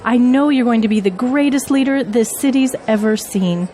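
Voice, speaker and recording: A woman's voice: a little high, normal speed, warm tone, a little hiss or hum, close to an everyday voice. A woman playing a loyal, efficient political aide in an audio drama, speaking earnestly and deferentially. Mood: admiring, earnest.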